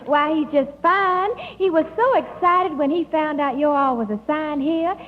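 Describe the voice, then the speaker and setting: A woman plays a chirpy, guileless young Southern belle, a colonel's daughter, in a high voice. Her delivery is sugary 1940s radio comedy, heard in a long hall reverb on a muffled old recording. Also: moderate pace, close to an everyday voice. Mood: sweet, cheerful. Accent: Southern American.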